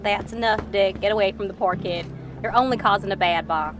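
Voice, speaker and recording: A woman's voice: medium pitch, brisk, noisy background, natural voice. A woman playing a no-nonsense, protective, wry townswoman in a western audio drama, blunt and folksy. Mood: scolding.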